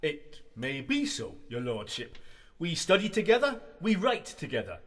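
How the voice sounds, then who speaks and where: A man's voice: on the high side, wildly swooping pitch, moderate pace. An actor playing a loyal, nervous young male student in a radio play, polite, earnest and a little hesitant. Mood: earnest confession. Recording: echoey hall with long reverb.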